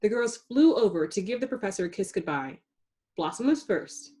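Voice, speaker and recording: A woman reading a children's story aloud in an expressive narrating style, with dramatic emphasis for suspense. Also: mid-range voice, moderate pace. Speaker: storybook reader.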